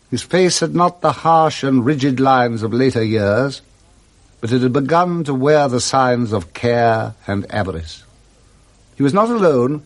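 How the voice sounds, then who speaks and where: English man, medium pitch, animated intonation, theatrical storyteller, scripted vintage radio drama narration. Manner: expressive, theatrical.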